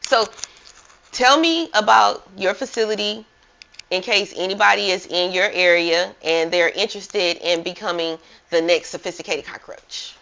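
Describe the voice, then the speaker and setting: A woman's voice: fairly low pitch, normal speed, vocal fry, fairly bright tone. A woman hosting a casual interview, upbeat and encouraging, often saying "like". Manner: upbeat, encouraging, says like a lot. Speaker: interview host. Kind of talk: casual chat. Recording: muffled lo-fi audio.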